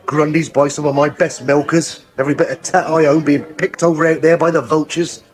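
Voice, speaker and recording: An actor playing a downcast, bitter dairy farmer, gruff and grudging, in a naturalistic radio drama. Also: male voice, on the high side, flat delivery, brisk, close to an everyday voice. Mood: resigned, irritated.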